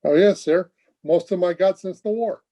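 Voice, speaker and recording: A man's voice: high voice, moderate pace, dark tone. A man playing an earnest, very polite twenty-year-old Air Force officer in an old-time radio comedy. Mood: proud, polite. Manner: courteous, earnest, wordy.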